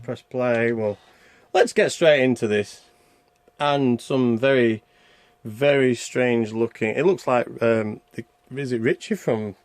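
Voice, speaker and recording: A man hosting a game review with casual, rambling, self-deprecating commentary as he plays. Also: mid-range voice. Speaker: video game reviewer and channel host.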